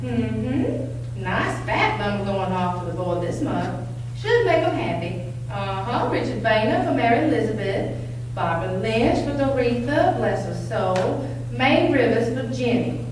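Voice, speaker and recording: A woman's voice: on the high side, big pitch swings, unhurried. A woman playing a bossy, folksy, suspicious Southern American office supervisor in a staged play reading. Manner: folksy, scolding. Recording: echoey hall from far off, loud hum.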